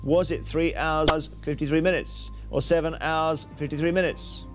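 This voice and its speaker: British guy hosting a tv quiz, voice a bit high, music under him. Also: steady tone, normal speed. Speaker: quiz show host. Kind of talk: TV quiz presenting, scripted links and banter.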